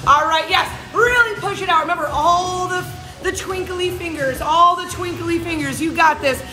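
A woman's voice: very high voice, moderate pace. A woman in her thirties leading a live workout class as a fitness coach, bubbly and encouraging, cheering and counting down the intervals. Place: large gym with hard walls. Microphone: camera mic a few steps away. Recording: echoey gym with music behind. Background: workout music.

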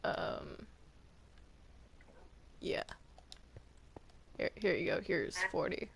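A person chatting casually while playing a video game with others, in a hesitant, halting way. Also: fairly bright tone, a little hiss or hum. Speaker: video game player in a group roleplay.

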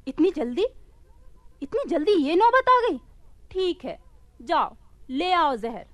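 High, animated courtly young wife. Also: female voice, slow pace, dry recording, heavily acted. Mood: teasing, nagging. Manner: flowery formal Urdu, theatrical. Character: courtly, teasing young wife, sharp-tongued and mock-deferential. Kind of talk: Hindi radio play acting.

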